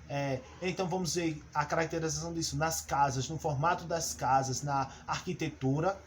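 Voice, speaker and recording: A male teacher the explains his lesson step by step. He pauses on fillers and restarts as he goes. His voice sits a little high, with faint noise behind it. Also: darkish tone. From Brazil. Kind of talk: recorded class lesson.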